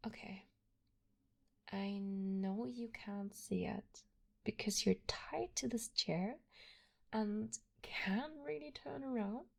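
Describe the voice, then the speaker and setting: A woman playing an obsessive, doting young yandere kidnapper in an intimate ASMR roleplay, soft and breathy with frequent drops toward a whisper. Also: fairly high pitch, full character voice. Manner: soft breathy delivery dropping often into whispers.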